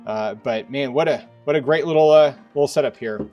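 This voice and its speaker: A man's voice: mid-range voice, fairly quick, muffled recording, faint music behind. A middle-aged man hosting a casual product review in a workshop, conversational and often restating his points. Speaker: host of a product review channel. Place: indoors in a workshop.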